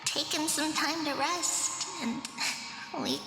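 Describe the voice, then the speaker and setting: A woman voicing a gentle, fond, motherly little big-sister mentor in a video game, with a girlish voice. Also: sits high, steady tone, slow pace, creaky, faint music behind, heavily acted. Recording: echoey hall reverb.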